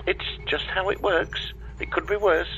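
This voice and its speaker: A man's voice: sits high, fairly even intonation, unhurried, sharp tone, muffled recording, slight background noise. A man playing an evasive, breezily unhelpful space-agency dispatcher in a comedy audio drama, talking over a radio link. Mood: sheepish, brisk. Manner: breezy, evasive, hesitant when cornered.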